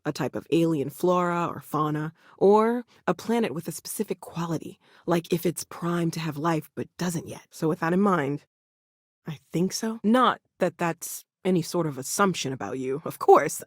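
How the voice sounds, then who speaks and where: A woman's voice: lowish voice, moderate pace, dry recording, natural voice. An actress plays a brainy woman scientist in a video game, recorded close and dry. She is earnest and eager, with an anxious edge. She speaks thoughtfully, with small hesitations. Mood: focused, earnest.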